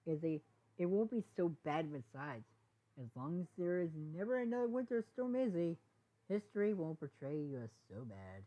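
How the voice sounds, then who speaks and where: A woman's voice: sits low, big pitch swings, normal speed. A woman voicing an earnest, reassuring young female pony friend in a storybook reading, firm and encouraging. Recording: dull, lo-fi sound.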